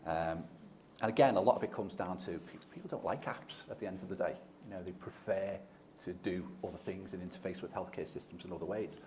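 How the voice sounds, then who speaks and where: A man with a very low voice speaks as a panelist, explaining his ideas fluently and conversationally. A faint room ambience sits behind him. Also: fairly quick, a little mellow, a little hiss or hum. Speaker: panelist, behavioural scientist. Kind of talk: panel discussion.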